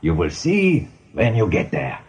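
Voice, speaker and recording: An actor in a radio play voicing an excitable, boastful male seagull who speaks halting, broken English. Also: sits low, exaggerated voice. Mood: sly, pleased.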